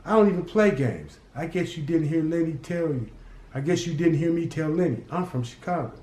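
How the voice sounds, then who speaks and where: A man's voice: on the high side, animated, a little fast, warm tone, pitched above the performer's own voice, natural voice. A man voicing a cocky, defiant city teenager who talks back to gangsters. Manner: mouthy, defiant, smart-alecky. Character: cocky streetwise teen boy from the city. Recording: roomy echo.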